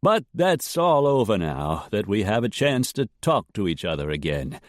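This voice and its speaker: A man voicing a calm, carefully controlled older gentleman with a Boston accent who speaks in unctuous tones. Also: fairly low pitch, moderate pace, no room sound, clean studio sound. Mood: smooth.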